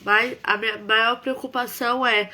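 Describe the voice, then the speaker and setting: A woman's voice: on the high side, steady tone, moderate pace, noisy background. A woman hosting a live worship stream, speaking earnestly and repeating herself for emphasis while congested with a cold. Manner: earnest, repetitive, emphatic exhortation. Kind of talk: devotional live-stream talk.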